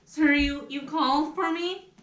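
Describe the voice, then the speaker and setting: Woman playing a high, timid manservant. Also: unhurried, fairly bright tone. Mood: meek, insistent. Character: timid, insistent male servant. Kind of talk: puppet theatre character acting. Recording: echoey room, distant mic.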